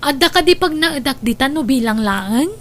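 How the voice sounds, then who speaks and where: A woman's voice: high-pitched, lively intonation, normal speed, a bit bright, a little hiss or hum. A woman acting in a radio drama as a defensive married daughter still drawn to an old flame. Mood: coy, affectionate.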